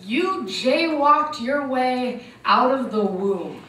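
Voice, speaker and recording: A woman's voice: sits high, expressive, pushed and bright, a little hiss or hum. Woman poet in an echoey hall. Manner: rhythmic, measured delivery. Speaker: spoken word poet. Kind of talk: spoken word performance. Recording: echoey hall from far off, music behind.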